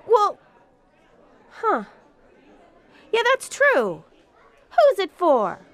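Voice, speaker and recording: A woman's voice: very high voice, huge pitch swings, drawn-out words, fairly bright tone, a faint crowd, heavily acted. An actress playing a dim club woman in a comic radio drama, drawling and parroting what others say. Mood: puzzled. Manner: drawling, echoing others' words. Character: dim, slow-witted club woman who parrots her companion.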